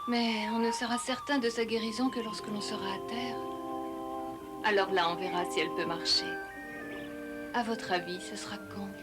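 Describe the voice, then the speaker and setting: Une comédienne de dessin animé en français qui joue une jeune femme douce et attentionnée. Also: female voice, mid-range voice, steady tone, moderate pace, long pauses, natural voice. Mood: concerned. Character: caring, gentle young woman nursing an injured animal. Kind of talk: French cartoon voice acting. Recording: loud music behind the voice.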